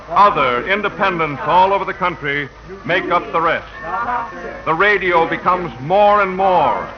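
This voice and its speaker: A solemn radio pageant narrator, high in pitch and very measured. He declaims slowly in 1940s style. The old broadcast sounds dull, with music behind him. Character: solemn male choral narrator answering Radio for the people.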